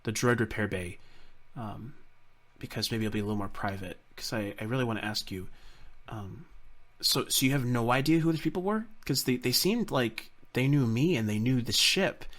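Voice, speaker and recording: A man's voice: mid-range voice, animated, fairly bright tone, natural voice. Man as a hesitant, stammering sci-fi hero. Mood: hesitant, uneasy. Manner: hesitant, halting, repeating words. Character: unsure, anxious, deferential hero serving a general. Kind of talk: fan-made sci-fi roleplay drama.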